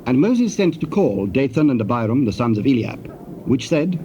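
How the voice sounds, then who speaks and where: A man narrating dramatized scripture in a formal, measured storytelling manner. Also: medium pitch, sing-song, dark tone. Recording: muffled, faintly hissy tape.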